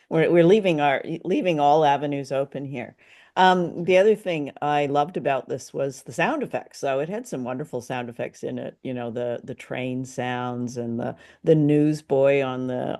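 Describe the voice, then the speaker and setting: Laughing chatty woman podcast co-host. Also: a bass voice. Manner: laughs often, animated, chatty. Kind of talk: casual review chat.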